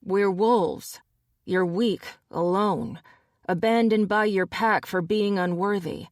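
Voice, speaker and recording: A defiant young wolf shifter, done by a woman reading aloud. He sounds sullen and defensive. The read is slow and the recording dry. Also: mid-range voice, steady tone, dry recording, close to an everyday voice.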